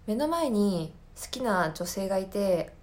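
A woman's voice: mid-range voice, big pitch swings, unhurried, a little mellow. A woman podcast host talking casually on her own, explaining things thoughtfully and searching for her words as she goes. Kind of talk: casual solo recommendation talk.

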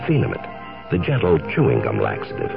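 A man reading a scripted 1970s radio commercial as announcer, deliberate and selling, on a dull old recording with music. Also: a bass voice, huge pitch swings, vocal fry.

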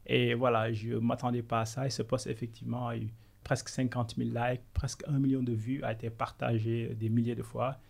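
A man's voice: mid-range voice, flat delivery, a little fast, vocal fry, a little mellow. A man in his thirties from Senegal, a podcast guest telling his career story in an earnest, motivational way. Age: 30s. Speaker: podcast guest, business consultant. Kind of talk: conversational interview.

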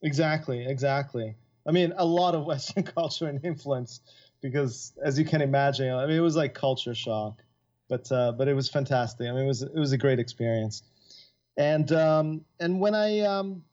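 A man from Italy who moved to Florida as a teenager, chatting casually as an interview guest in a halting, filler-filled way. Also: fairly high pitch, darkish tone, muffled recording. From Florence, Italy, and from his teens Florida. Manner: halting, chatty, peppered with "like" and "you know". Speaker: podcast guest, composer and filmmaker. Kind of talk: casual, anecdotal interview talk.

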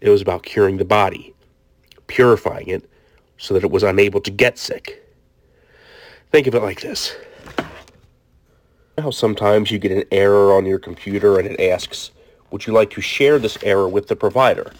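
A man's voice: on the low side, dry recording, close to an everyday voice. A man playing a self-justifying research doctor in his late forties, formally reading a diary in an audiobook. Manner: formal, measured explaining. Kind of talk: scripted audiobook diary monologue.

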